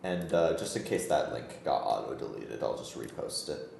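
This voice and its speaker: A man's voice: rumbling low, lively intonation, moderate pace, creaky, darkish tone, a little hiss or hum. A man hosting and commentating an esports match in a casual, laid-back, chatty way. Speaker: esports tournament host and commentator. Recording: roomy echo, music behind. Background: background music.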